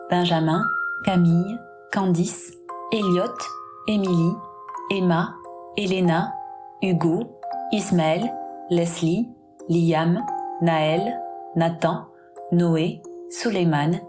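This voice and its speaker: A woman narrator reading a scripted list of credits in a measured way. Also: fairly low pitch.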